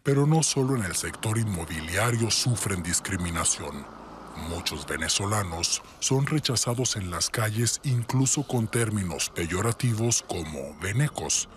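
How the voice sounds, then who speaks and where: A man narrating a scripted news report in a measured, formal way. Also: lowish voice, lively intonation, a little hiss or hum.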